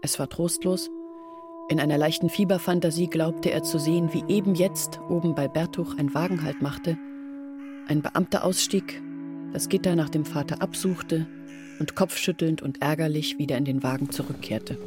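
An actress playing a grieving young village woman who tells of her family's downfall in an earnest, measured radio drama narration. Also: sits low, steady tone, over music, natural voice. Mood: grieving, weary.

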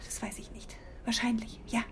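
A woman's voice: normal speed, in a whisper, close to an everyday voice. An actress playing a worried, apologetic middle-aged German wife and mother in a naturalistic radio drama, speaking hesitantly. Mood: anxious, searching.

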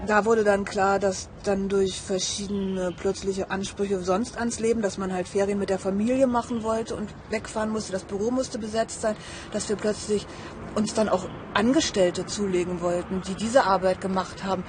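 A woman with a mid-pitched voice recalls things off the cuff in an interview. Her talk is informal and unscripted. The recording has clearly audible ambient noise behind her. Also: fairly even intonation, moderate pace. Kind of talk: spontaneous recollection in an interview.

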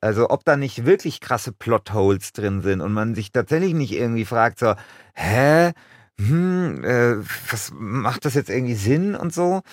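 A man's voice: mid-range voice, no echo, studio quality. A man in casual brainstorming chat as a podcast co-host, colloquial and emphatic as he thinks aloud. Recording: music behind the talk. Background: music.

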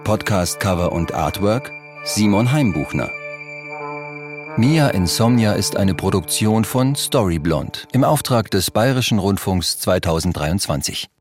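Slow, calm man reading credits. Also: lowish voice, over music.

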